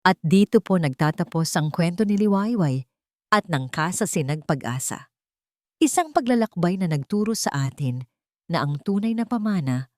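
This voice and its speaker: Female Filipino storyteller, low-pitched, earnest and sentimental, reading dramatic narration in a very dry close recording. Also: dark tone, no room sound. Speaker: story narrator and channel host.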